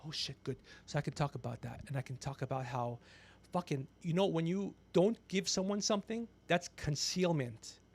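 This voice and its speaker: A man's voice: fairly high pitch, moderate pace, breathy edge. A man in his fifties chatting casually on a livestream as host, brash and ranting, swearing freely. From Persian background. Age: 50s. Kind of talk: casual profane livestream chat.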